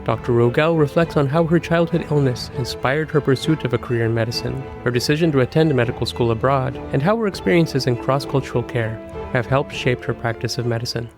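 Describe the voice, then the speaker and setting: A man, a physician hosting a podcast, reading a formal introduction and asking interview questions in a measured way. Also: medium pitch, over music.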